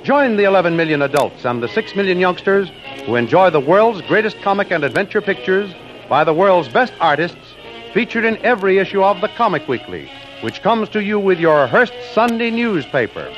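High-pitched old-time radio announcer, muffled recording. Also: male voice, sing-song, a little fast, a bit bright, soft background music. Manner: declamatory, formal. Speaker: radio serial announcer and narrator. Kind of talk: 1940s radio scripted narration.

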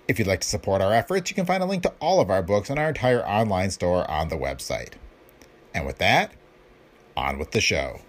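A man hosting a podcast, reading scripted announcements. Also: fairly low pitch. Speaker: podcast host.

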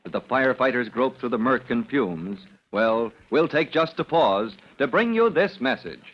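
A man's voice: mid-range voice, expressive, normal speed. A man announcing and narrating in a dramatic old-time radio style. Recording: noisy muffled old radio recording.

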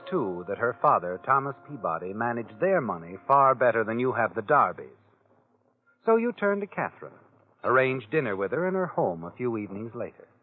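An actor playing a sardonic, all-knowing narrator of crime tales, taunting in second person in a 1940s radio drama. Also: male voice, mid-range voice, lightly acted. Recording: tinny vintage radio recording with music behind.

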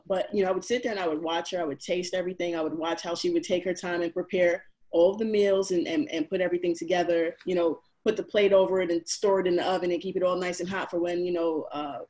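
A woman in her thirties from Barbados, a chef guest telling stories in a casual, animated way with many fillers. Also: lowish voice. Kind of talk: casual interview chat.

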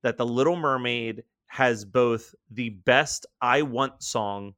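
A man's voice: medium pitch, takes it slowly, fairly bright tone, no echo, clean studio sound. A man co-hosting a casual podcast, talking eagerly about songs and now and then singing lines. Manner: enthusiastic, breaks into singing show tunes. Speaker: podcast co-host. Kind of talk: casual chat.

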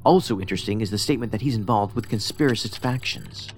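An actor playing a pedantic, socially awkward federal investigator in a science fiction audio drama, speaking precisely and formally with a detached, musing manner. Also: male voice, on the low side, quick pace, darkish tone, lightly acted. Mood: analytical, intent. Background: music under the voice.

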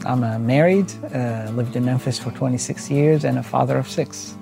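A middle-aged man from Syria speaking in a measured way in an interview, with fillers. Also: mid-range voice, vocal fry, music in the background.

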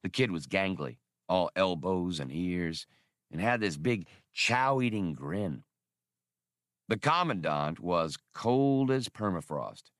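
A man's voice: low-pitched, expressive, takes it slowly, a bit bright, dry recording, studio quality, full character voice. A man voicing a seasoned, easygoing ex-officer in a space adventure audiobook, spinning yarns with wry mildness. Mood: wry, reminiscing. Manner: wry, mild storytelling. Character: seasoned, easygoing male ex-officer telling old war stories.

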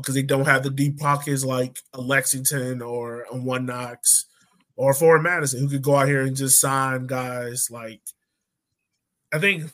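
A man with a medium-pitched voice hosts a casual sports chat. He talks informally in the role of a podcast host. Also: fairly bright tone.

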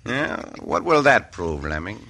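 An actor playing a bold, gallant Mexican cowboy hero in an old radio western, with a Mexican accent and formal, dramatic phrasing. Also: male voice, lowish voice, sing-song, a little slow, gravelly, bright, forceful tone, exaggerated voice. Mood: steely. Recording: noisy, crackly old radio recording.

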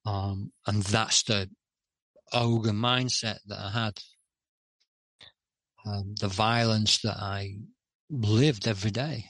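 Hesitant low-voiced man, dry sound. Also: steady tone, takes it slowly, long pauses, a bit bright, no room sound. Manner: hesitant, many "um"s and restarts. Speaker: podcast guest. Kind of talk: candid interview talk.

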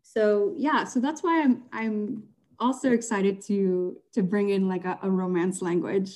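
Chatty young woman with a mellow voice. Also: mid-range voice, a little slow. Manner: chatty, laughs often, many fillers. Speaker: guest. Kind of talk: casual interview chat.

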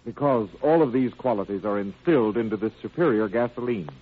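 A man's voice: lowish voice, a little fast, breathy edge. A man reading a scripted 1930s radio advertisement as the announcer's sidekick. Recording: tinny 1930s radio recording.

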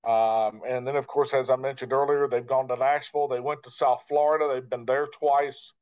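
A man who works as a sports broadcaster answering interview questions in a measured, reflective way, pausing between short phrases. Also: medium pitch, little pitch movement, a little mellow, clean tone. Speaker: guest, radio play-by-play broadcaster. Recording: dull, lo-fi sound.

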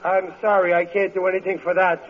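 A man's voice: high-pitched, flat delivery, brisk, lightly acted. A man acting in an old-time radio comedy as a bumbling, stammering working-class fellow posing as a doctor. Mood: exasperated. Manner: stammering, blustering, evasive. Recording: muffled, noisy vintage radio broadcast.

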